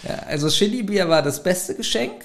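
A man's voice: high voice, lively intonation, fairly quick, gravelly, fairly bright tone. A man co-hosting a podcast in casual, humorous chat, jokey and given to mock grumbling. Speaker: podcast co-host.

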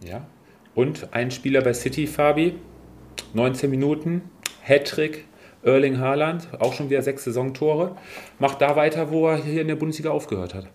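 A man hosting a casual football podcast, chatting in a relaxed way with many fillers. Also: on the high side, normal speed. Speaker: podcast host.

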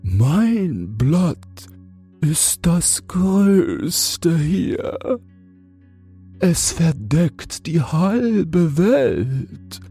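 Smug little caterpillar for a storybook, high voice, draaawls every word really slowly. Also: male voice, sing-song, mellow, breathy edge, faint music behind, heavily acted. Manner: drawling, smug. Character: slow, self-satisfied cabbage caterpillar on its leaf. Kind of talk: fairy tale audiobook character voice.

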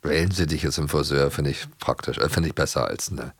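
A man's voice: very deep voice, a little fast, breathy edge, no echo. A man from East Germany co-hosting a podcast in casual, playful chat. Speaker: podcast co-host.

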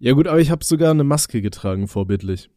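A man's voice: medium pitch, animated, fast, mellow, no echo, studio quality. A young man co-hosting a podcast, rambling casually with stammering restarts and jokes, getting over a cold. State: recovering from a cold. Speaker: podcast co-host. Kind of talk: casual chat.

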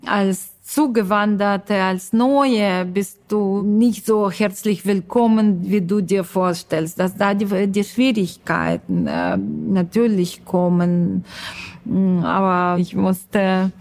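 Slow, hesitant woman from Ukraine. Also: mid-range voice, darkish tone. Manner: hesitant, searching for words. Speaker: interviewee, social worker. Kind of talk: personal interview account.

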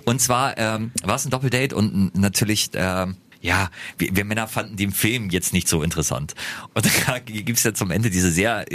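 A man in his late thirties co-hosting a casual podcast. He tells stories with many fillers and restarts, and he laughs often. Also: low voice. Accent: German. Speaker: radio podcast co-host. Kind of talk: casual chat among radio hosts.

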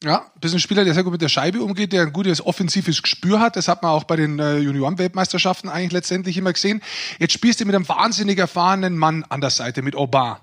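A man with a noticeably high voice talks quickly as he co-hosts a casual sports show. He is engaged and talkative, asking questions and adding his own comments. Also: a bit bright. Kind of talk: casual sports talk, interviewing.

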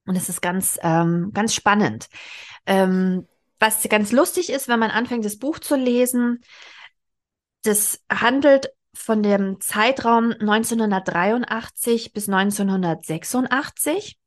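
A woman's voice: medium pitch, takes it slowly. A woman in her forties hosting a book podcast, explaining casually as she talks with a co-host and thinks aloud. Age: 40s. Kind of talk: casual book discussion between co-hosts.

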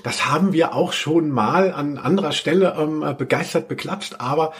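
A man's voice: a little high, moderate pace. A man hosting a podcast in a casual, jokey, rambling chat with a mock-serious streak. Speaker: podcast host.

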